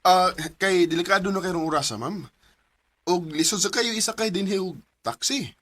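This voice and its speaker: A man's voice: high voice, normal speed, sharp tone. A man acting in a Bisaya radio drama as an earnest, meek, hardworking office employee, with a hesitant manner and a Filipino Bisaya accent. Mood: anxious, helpful.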